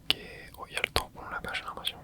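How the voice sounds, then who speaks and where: A host whispering casual gameplay commentary, rambling and thinking aloud with many hesitations. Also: very fast. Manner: casual, hesitant, frequent filler words. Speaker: gaming channel host.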